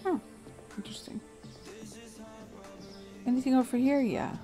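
A woman's voice: high-pitched, unhurried, soft background music. A woman with a soothing voice streaming a game, chatting casually with viewers and thinking aloud with fillers and small laughs. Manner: relaxed, chatty, frequent little laughs and fillers.

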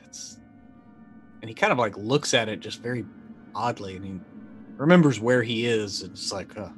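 A man's voice: fairly low pitch, moderate pace. A middle-aged man playing a role-playing game, casually thinking aloud as he decides what his character does. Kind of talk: casual improvised game play. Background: faint background music.

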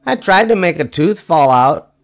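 Older man reading a storybook, slightly high voice. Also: animated. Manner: emphatic, with drawn-out wry punchlines. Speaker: storybook reader. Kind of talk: expressive read-aloud of a children's picture book.

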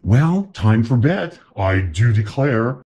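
A man's voice: mid-range voice, sing-song, takes it slowly, dark tone, slightly rough, highs cut off, heavily acted. A man voicing a stern but loving papa bear in a storybook read-aloud, delivering firm, rhyming lines. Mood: gentle.

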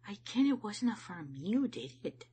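Woman playing gentle high-lilting Scottish mother. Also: big pitch swings, normal speed, breathy edge. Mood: tender. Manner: soft, fond, cheerful and polite. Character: gentle, trusting mother, doting on her minister son.

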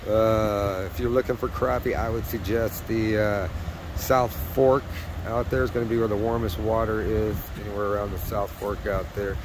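A man's voice: on the low side, little pitch movement. A man hosting a casual fishing report outdoors by a river, talking in an easygoing, rambling way with plenty of fillers. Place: outdoors by a river on a windy day. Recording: windy outdoor camera audio with engine noise. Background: wind, rushing water and a running truck.

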